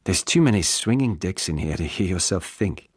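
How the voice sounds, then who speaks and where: A man's voice: low-pitched, lively intonation, moderate pace, slightly rough, dry recording, clean studio sound. Man voicing a forthright, brash woman journalist. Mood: blunt, flirtatious.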